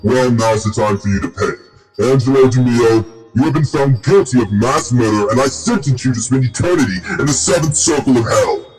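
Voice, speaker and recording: A man voicing an impatient demonic male judge who speaks in a gruff, booming way. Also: moderate pace, over loud music, natural voice, poor audio. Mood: menacing.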